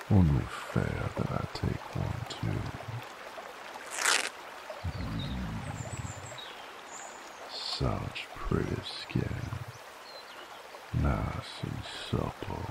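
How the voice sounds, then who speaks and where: A werewolf bounty hunter in an intimate roleplay ASMR. His voice is extremely low and thick with growling fry, and he drawls very slowly, seductive and menacing, teasing with full dominance. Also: heavy background noise, heavily acted. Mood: playful threat. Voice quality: deep gravelly growl.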